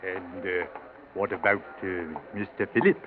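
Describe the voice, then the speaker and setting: An actor playing a devoted, deferential old Yorkshire gardener and manservant with rustic, hesitant speech in a 1940s radio drama. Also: male voice, sits low, fairly even intonation, moderate pace, lightly acted. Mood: sorrowful, hesitant. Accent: Yorkshire English. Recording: scratchy, narrow-band 1940s radio recording.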